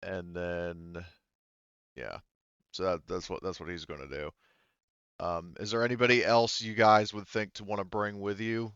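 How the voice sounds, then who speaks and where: Low, smooth-voiced man as game master. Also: fairly even intonation, normal speed, a bit bright, no room sound, muffled recording. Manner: hesitant, explanatory, thinking aloud. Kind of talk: narrating a tabletop roleplaying game.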